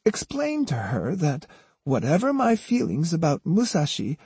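Man voicing a cunning, high-pitched old woman. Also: huge pitch swings, unhurried, dark tone, husky, no room sound, heavily acted. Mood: plaintive, wheedling. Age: older. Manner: wheedling, earnestly poignant false sweetness. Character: cunning, sneering old Japanese woman feigning gentle sincerity. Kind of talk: audiobook character voice.

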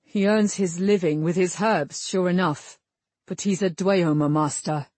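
A woman's voice: lowish voice, measured pace, darkish tone, husky, no room sound. A woman voicing a proud, stubborn young prince who speaks in direct, archaic phrases in a fantasy audiobook. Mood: guarded, earnest. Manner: formal archaic speech, direct.

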